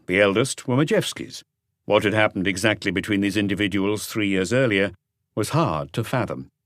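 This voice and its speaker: A man reading a crime novel as an audiobook, in measured, articulate scripted narration. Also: fairly low pitch, dry recording, studio quality.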